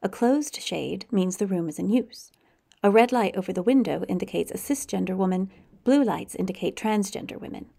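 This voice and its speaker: A woman with a gravelly, fry-edged voice narrates a walking tour. She speaks in a measured, informative way, with a dry, lightly wry touch. Her pitch sits in a middle range. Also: mellow. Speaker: tour guide.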